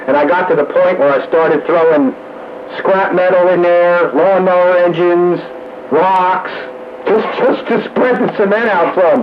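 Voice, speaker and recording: A man chatting casually as a regular on an amateur radio net, heard over a two-way radio. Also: high voice. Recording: noisy, muffled two-way radio.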